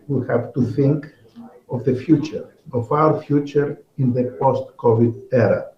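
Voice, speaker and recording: A man from Greece, delivering a measured, formal prepared speech as a conference speaker over a remote link. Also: medium pitch, echoey room, highs cut off. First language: Greek. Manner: measured, deliberate, with occasional repeated words.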